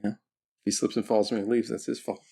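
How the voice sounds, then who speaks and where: A man's voice: lowish voice, little pitch movement, quick pace, vocal fry, dark tone, slightly rough. A man chatting casually as a player in a tabletop role-playing game, wry and jokingly defensive.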